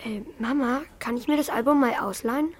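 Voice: medium pitch, a little fast, slight background noise, lightly acted. A performer playing a curious, slightly shy schoolboy in a children's radio play, speaking hesitantly and colloquially. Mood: casual, hesitant. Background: music.

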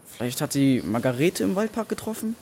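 A male actor playing a calm, dismissive teenage son in a radio play. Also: on the high side, a little fast, a little hiss or hum. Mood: dismissive, soothing.

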